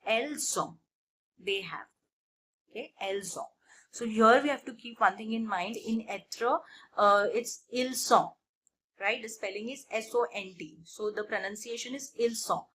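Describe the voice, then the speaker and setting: Slow, bright-voiced woman teaching. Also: a little high, breathy edge. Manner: deliberate, repeats phrases for learners. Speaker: language teacher and channel host. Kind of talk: beginner French lesson, explanatory.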